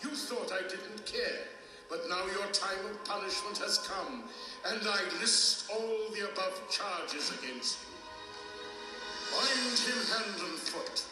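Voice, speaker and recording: A man's voice: high-pitched, slow, far from the mic. An actor playing a majestic, stern king who passes judgment in solemn, declamatory, formal speech in an audio drama. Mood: wrathful, commanding. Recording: echoey hall reverb, music behind.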